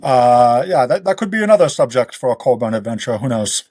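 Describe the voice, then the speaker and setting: A man's voice: mid-range voice, lively intonation, fairly quick, darkish tone, no room sound, clean studio sound. A man speaking as a guest author in a casual podcast interview, explaining things in detail in a conversational way. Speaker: guest author of western fiction.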